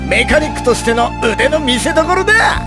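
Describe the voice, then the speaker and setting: An actor voicing a cocky, cheerful robot mechanic in a video game, rough-spoken and breezy. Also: male voice, very high voice, expressive, moderate pace. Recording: game music behind.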